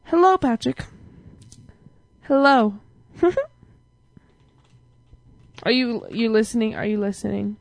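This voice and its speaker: A woman's voice: a little high, slow, vocal fry, long pauses, no echo. A young woman hosting an online talk stream, rambling through silly stories with lots of giggling. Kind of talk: rambling casual chat.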